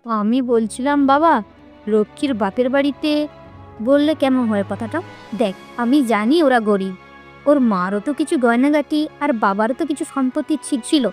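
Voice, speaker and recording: A woman's voice: sits high, moderate pace, faint music behind, close to an everyday voice. A woman voicing a greedy, bossy middle-aged mother-in-law in a cartoon story, with a scornful, nagging manner. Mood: coaxing, sly. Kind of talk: Bengali cartoon story voice acting.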